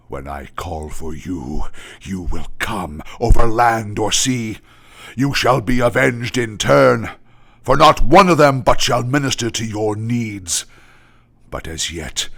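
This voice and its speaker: A man's voice: deep voice, gravelly, fairly bright tone, breathy edge, heavily acted. A man playing an imperious vampire lord in a radio play, threatening in a theatrical manner.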